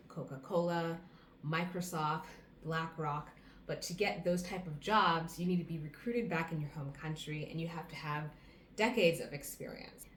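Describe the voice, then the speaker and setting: An American woman in her thirties, talking casually to camera and giving earnest advice, listing things off one by one. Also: sits low. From United States. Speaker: vlogger. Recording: roomy echo, faint background hum.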